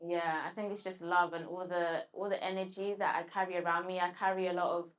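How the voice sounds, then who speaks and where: Woman poet, halting and reflective. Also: on the low side, level tone, dull audio. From London. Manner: halting, searching for words with restarts when answering. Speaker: guest poet. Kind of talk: spoken word recital and interview answers.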